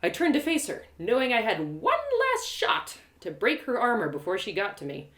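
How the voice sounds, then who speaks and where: A woman performing a first-person adventure story as a live reading, dramatic and expressive. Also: mid-range voice, moderate pace.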